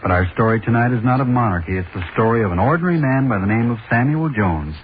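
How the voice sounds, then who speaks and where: A man narrating the opening of a 1930s horror radio play in a measured storytelling way, heard through a tinny old recording. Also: medium pitch, lively intonation, a little hiss or hum.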